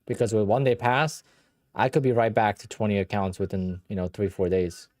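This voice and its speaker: A man's voice: on the low side, fairly even intonation, moderate pace, darkish tone, no echo, studio quality. A man with a Polish accent, Polish his first language, hosting a casual livestream and answering viewer questions in a blunt, offhand way. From Poland. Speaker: livestream host.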